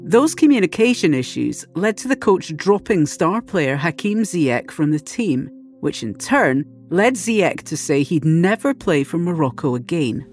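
Lively-voiced news podcast host. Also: mid-range voice, unhurried, faint music behind. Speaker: host, reporter. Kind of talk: scripted narration with interview questions.

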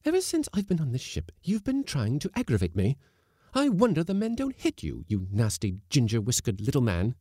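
A man voicing a hot-tempered female ship's cook in a storybook, shrill, hectoring and indignant. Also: wildly swooping pitch, a little fast, mellow, husky, dry recording, studio quality. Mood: hot indignation. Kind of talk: storybook character voice in audiobook.

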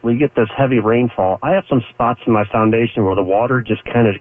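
A man's voice: medium pitch, quick pace. A male caller phoning in to a radio show. He explains his question plainly and sounds a little unsure. The line is thin and phone-like.

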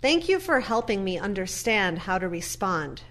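A woman playing a gentle, earnest daughter in an audio play, measured and reflective. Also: medium pitch, very animated. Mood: moved, grateful. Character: gentle, earnest daughter visiting her mother in care.

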